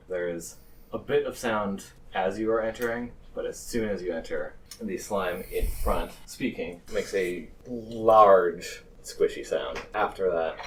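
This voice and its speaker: A man's voice: sits low, measured pace. A man improvising scene narration as the game master of a tabletop roleplaying game. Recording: distant mic, faint noise.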